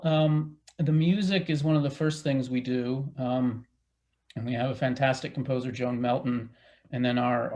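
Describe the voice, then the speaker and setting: A man speaking as a theater director in a casual panel discussion, haltingly, thinking aloud as he goes. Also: medium pitch, gravelly, darkish tone.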